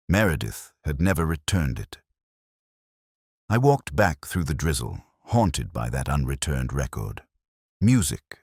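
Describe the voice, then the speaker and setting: A man reads a scripted first-person detective story in a measured, solemn, reflective manner, as a literary narrator. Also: very deep voice, darkish tone, breathy edge, dry recording, studio quality. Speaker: audiobook-style narrator.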